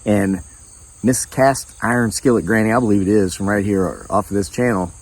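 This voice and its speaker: A hoarse man hosting his own channel, talking to camera in an indignant, folksy way and reading out viewer comments. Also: lowish voice, fairly even intonation, moderate pace, a little mellow. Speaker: channel host.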